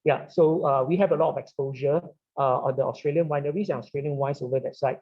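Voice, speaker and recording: Singaporean man, halting, on dull call audio. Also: mid-range voice, fairly even intonation. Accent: Singaporean English. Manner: halting, many "uh" fillers and "right?" tags. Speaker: wine retailer and sommelier. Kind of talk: webinar panel talk. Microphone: video call.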